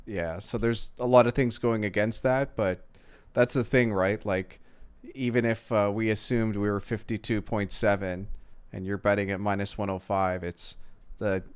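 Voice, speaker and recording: Clear, smooth-voiced man talking sports analysis. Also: on the low side, steady tone, normal speed. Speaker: sports livestream co-host. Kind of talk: casual analytical sports and betting chat.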